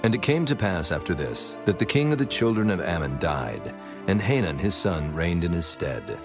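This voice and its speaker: A man narrating scripture from a script in a solemn, measured manner. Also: deep voice, sing-song. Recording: music bed under the voice.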